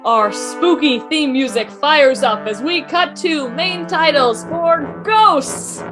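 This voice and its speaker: A woman's voice: high-pitched, slow, music in the background. A woman reading scripted stage directions as narrator of a table read.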